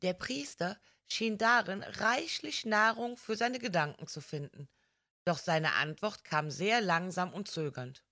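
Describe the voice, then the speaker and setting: Female audiobook narrator: slightly low voice, slow and measured storytelling. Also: lively intonation, bright, forceful tone, dry recording, clean studio sound. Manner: measured, careful reading.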